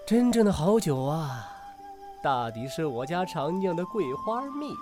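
A man's voice: on the high side, very animated, a little slow, over music. A man acting a carefree, teasing, centuries-old ghost with a youthful air and haughty archaic speech in a period audio drama. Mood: languid, disdainful.

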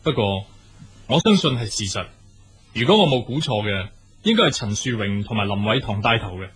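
A man playing a stern schoolteacher in a radio drama, formal and measured, with a Hong Kong Cantonese accent. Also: lowish voice, sing-song, a bit bright, slightly rough, heavily acted.

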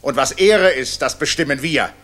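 An actor in a 1960s German radio drama playing a cold, self-righteous Nazi official who speaks in clipped, threatening declamation. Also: male voice, mid-range voice, moderate pace, a bit bright, lightly acted. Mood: defiant menace.

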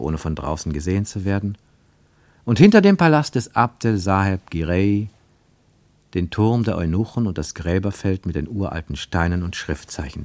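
Male radio-drama narrator, lowish pitch, measured and literary, dry studio read. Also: a little mellow, no echo. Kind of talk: scripted radio-play narration.

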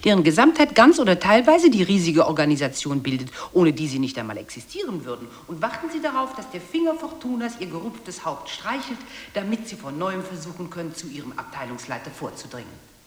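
An actress playing a sympathetic adviser in a radio play, speaking in an articulate, expressive way. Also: female voice, lowish voice, brisk, slightly rough. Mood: coaxing. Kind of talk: scripted radio play narration.

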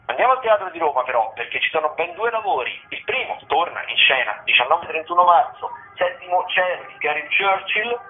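A man hosting a radio show, presenting listings in a halting way, half reading notes and half commenting off the cuff. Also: medium pitch. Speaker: radio host. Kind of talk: theatre listings round-up, semi-scripted radio talk. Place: radio broadcast. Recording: narrow, phone-like radio sound.

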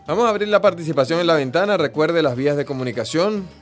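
A man from Venezuela hosting a radio show, briskly announcing how listeners can get in touch. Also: on the high side, lively intonation, soft background music. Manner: brisk announcer reading out contact details. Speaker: radio host.

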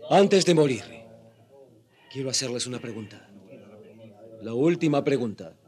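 An actor in a radio drama playing a proud, defiant young Indian rebel leader, speaking in a solemn, declamatory way. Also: male voice, mid-range voice, animated, measured pace, a little mellow, close to an everyday voice. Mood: bitterly defiant.

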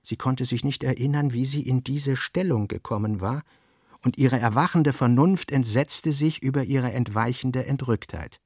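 A male actor as the grave narrator of a philosophical novel in a radio play, reading in a measured, solemn literary manner. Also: medium pitch, a little mellow, breathy edge, no echo, clean studio sound, natural voice. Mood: grave, reflective.

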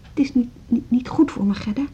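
An actress playing a hesitant, apologetic woman in an intimate radio drama, with a soft, husky, halting voice. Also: on the high side, whispered, lightly acted. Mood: subdued, apologetic. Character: hesitant, apologetic pregnant woman, a music school co-director. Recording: dull lo-fi radio drama recording.

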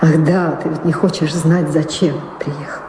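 A woman's voice: low-pitched, steady tone, unhurried, background noise. An actress playing a guarded mother and former lover in a radio drama, with pointed theatrical delivery. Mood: guarded, reproachful. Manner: probing, pointed questioning. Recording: echoey hall reverb, music underneath.

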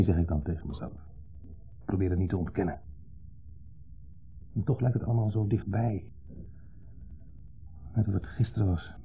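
Male actor as sentimental ex-husband, lowish pitch, halting, reflective, longing, radio play, dull lo-fi sound. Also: natural voice. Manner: halting, reflective, speaks in short fragments. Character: wistful, sentimental divorced man longing for his ex-wife. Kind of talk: 1970s Dutch radio drama acting. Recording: muffled, lo-fi 1970s radio recording.

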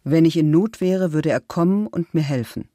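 A dry, close recording of an actor as a man with a slightly high voice, testifying for a documentary. He defends himself and his friend in short, emphatic statements. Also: normal speed, dark tone, no echo, natural voice. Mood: firm, loyal. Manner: emphatic, short declarative sentences.

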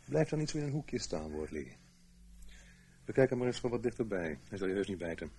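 A man acting in a Dutch radio play as a brisk, practical, matter-of-fact secret agent. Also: lowish voice, lively intonation, warm tone. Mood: businesslike. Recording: noisy radio play recording.

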